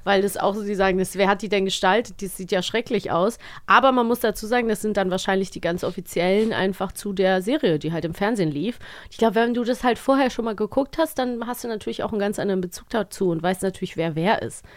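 A woman's voice: mid-range voice, fast, hardly any pauses, no room sound. A woman chatting casually and teasingly as a podcast co-host, close to the microphone. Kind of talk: casual playful banter.

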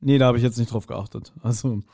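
A man co-hosting a podcast, casually discussing and speculating at length with pauses and self-corrections. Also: mid-range voice, fairly even intonation, vocal fry, mellow. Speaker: podcast co-host. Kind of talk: casual audio drama discussion.

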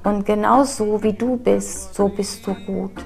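A middle-aged woman gives a personal interview with a gravelly texture to her voice. She is candid and reflective, pausing and restarting as she searches for words. Clear background music runs beneath her. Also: mid-range voice, steady tone. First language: German. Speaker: interviewee, children's ministry worker. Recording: music playing underneath.

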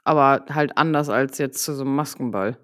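A woman's voice: extremely low pitch, fairly quick. A young woman co-hosting a podcast in casual, playful chat over a remote call, joking and laughing often. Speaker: podcast co-host.

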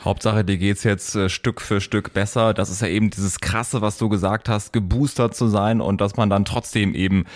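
A man's voice: fairly low pitch, steady tone, moderate pace, hardly any pauses, no room sound, studio quality. A man hosting a podcast, introducing his guests in an enthusiastic, presenting style. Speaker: podcast host.

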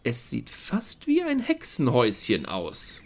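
A man's voice: mid-range voice, wildly swooping pitch, a little slow, a little hiss or hum. A man playing a vain young prince in a fairy-tale audio play, nonchalant and self-satisfied. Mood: casual, puzzled.

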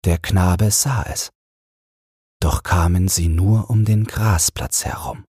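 A man gently narrating a bedtime fairy tale in a soothing, measured way, close to the microphone. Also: sits low, fairly even intonation, husky, no echo. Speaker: audiobook narrator.

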